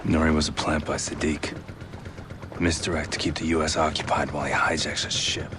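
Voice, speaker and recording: A man playing a gruff, middle-aged covert agent in a video game, terse and deadpan in a gravelly voice. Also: a bass voice, a little fast. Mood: grim, terse. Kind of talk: cinematic video game acting. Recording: noisy game action soundtrack.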